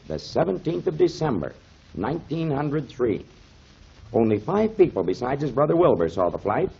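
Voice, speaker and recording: A man's voice: medium pitch, creaky, dark tone, breathy edge, exaggerated voice. An actor playing a concerned, hearty man in a 1930s radio serial, speaking in an emphatic, dramatic way. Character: concerned, hearty man, protective dog owner. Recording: dull, muffled 1930s radio recording.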